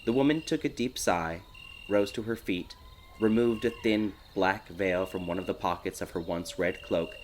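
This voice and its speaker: A man narrates a dark fairy tale in a lowish voice, as in an audiobook. Crickets and night ambience are clearly audible behind him. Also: moderate pace, background noise. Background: night insects, ambience.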